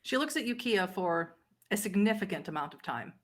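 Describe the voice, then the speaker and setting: A woman running a tabletop roleplaying game, narrating in a measured, descriptive manner. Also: mid-range voice, lively intonation. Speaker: game master.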